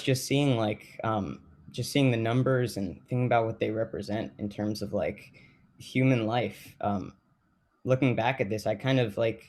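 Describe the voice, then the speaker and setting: A man playing a hesitant, self-questioning school staffer in documentary theater, talking haltingly with many filler words. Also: medium pitch, natural voice. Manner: halting, filler-heavy speech with frequent "like".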